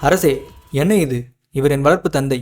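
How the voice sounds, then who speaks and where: A man playing an earnest, indignant young orphan at a royal court in an animated tale, polite but protesting. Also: a little high, moderate pace, soft background music, natural voice. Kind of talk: animated moral story dialogue.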